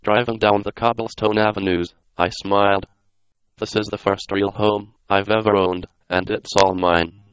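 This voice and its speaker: A computerized male voice reading scripted narration in a flat, undramatic way. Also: on the low side, moderate pace, clean tone, dry recording, highs cut off. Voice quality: synthetic computer voice. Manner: flat, non-dramatic reading. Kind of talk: scripted narration read by text-to-speech.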